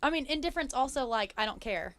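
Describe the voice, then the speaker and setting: A woman's voice: high voice, lively intonation, quick pace, a bit bright, dry recording. A woman in her late twenties chatting casually, explaining herself mildly. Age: late 20s. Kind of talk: casual chat.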